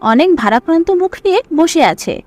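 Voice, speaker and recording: A woman narrates a scripted story in a high voice with lively swings of intonation. Faint music plays behind her. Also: fairly quick.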